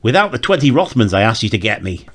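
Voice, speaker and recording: A man's voice: fairly low pitch, sing-song, fast talker. A man giving wry, joking commentary in short quips over an old audio drama. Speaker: comedy riffer.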